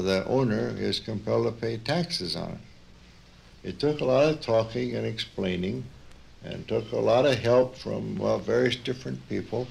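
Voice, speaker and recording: An older American man, a retired professor, reminiscing in a halting, deliberate, plain-spoken way in a radio interview. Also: lowish voice, vocal fry.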